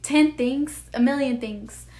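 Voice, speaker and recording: Soft-voiced young woman, earnest and rambling. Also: high-pitched, takes it slowly. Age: young adult. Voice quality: soft, childlike voice. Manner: earnest, rambling, full of "like" and "you know". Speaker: vlogger. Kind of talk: heartfelt personal talk to camera.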